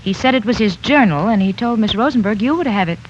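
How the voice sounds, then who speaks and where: An actress playing a chatty, deferential young nurse in a 1940s radio drama. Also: female voice, medium pitch, lively intonation, fast talker, slight background noise, lightly acted. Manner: deferential, gossipy. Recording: muffled 1940s radio broadcast.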